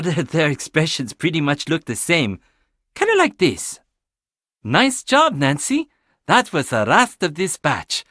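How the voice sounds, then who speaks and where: A man's voice: a little high, fairly bright tone, no echo, clean studio sound, exaggerated voice. An actor playing a casual, teasing young handyman in a video game, with a laid-back, playful manner.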